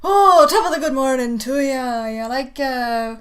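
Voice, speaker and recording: A woman's voice: high voice, animated, fairly bright tone. Woman putting on a clumsy fake Irish voice. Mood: hesitant. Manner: halting, full of ums and uhs.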